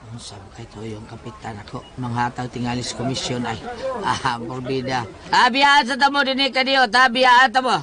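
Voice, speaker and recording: A man's voice: medium pitch, animated, moderate pace, natural voice. A man playing a shameless, greedy village councilor in a comedy drama, with a Cebuano Filipino accent and an exclamatory, blustering delivery. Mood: puzzled. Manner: exclamatory, blustering, stammering when cornered. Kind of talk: broad comedic radio drama acting.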